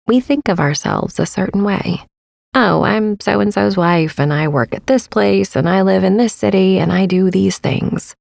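A woman hosting a sleep meditation podcast, speaking in a soft, soothing, partly whispered and unhurried way. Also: fairly low pitch, vocal fry, slightly rough, no echo, studio quality. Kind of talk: guided sleep meditation narration.

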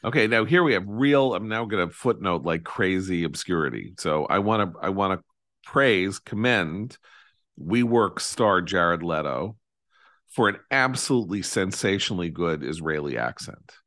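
A man hosting a casual chat, talking with enthusiasm in long digressions that trail off quietly. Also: low-pitched, expressive, moderate pace, no echo, studio quality.

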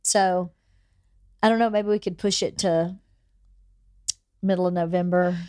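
A woman's voice: lowish voice, steady tone, unhurried, darkish tone, clean tone, dry recording. A chatty woman hosting a podcast, talking frankly and swearing now and then. She has a cold and her voice is wearing thin. The sound is dry and close, with no room around it. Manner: chatty, candid, swears casually. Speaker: podcast host. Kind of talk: casual chat between friends.

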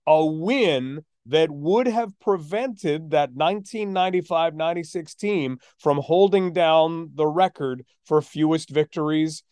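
A man hosting a sports podcast, telling stories conversationally with a deliberate, emphatic build-up. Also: a little high, a little mellow, smooth, dry recording, studio quality. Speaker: podcast host and sports announcer.

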